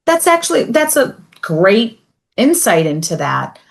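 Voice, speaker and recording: Boston lady talking slow and easy, chatty, lots of likes. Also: mid-range voice, sing-song, gravelly. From USA. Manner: chatty, full of likes and self-quotes. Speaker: columnist guest. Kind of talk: casual roundtable discussion.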